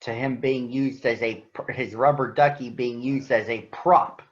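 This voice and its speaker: A man's voice: mid-range voice, fairly even intonation, a little slow. Man reading to schoolchildren, dull lo-fi sound. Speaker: picture book reader for schoolchildren. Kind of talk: addressing children, then read-aloud storytelling.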